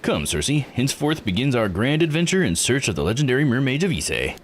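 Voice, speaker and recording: A man's voice: medium pitch, big pitch swings, quick pace, a little hiss or hum, lightly acted. A man voicing a cheerful American fantasy author from a visual novel, with grand, flowery dramatic flair.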